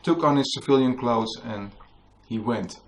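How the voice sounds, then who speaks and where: A man from the Netherlands with a Dutch accent, telling a story to an audience at a book talk and often pausing to search for English words. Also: mid-range voice, gravelly, a little hiss or hum. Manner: hesitant, searching for English words, many fillers. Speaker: author giving a book talk. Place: museum hall with a live audience.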